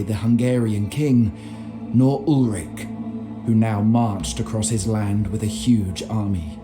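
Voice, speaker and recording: A man's voice: mid-range voice, natural voice. An actor playing a solemn medieval knight who narrates his campaign journal in a video game. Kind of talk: dramatic scripted game narration.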